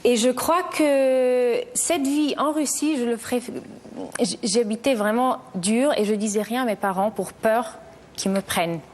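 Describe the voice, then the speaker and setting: A woman's voice: a little high, a little slow, a little mellow. A woman in her thirties from Italy, a talk show guest who speaks earnestly and hesitantly, often restarting her sentences. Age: 30s.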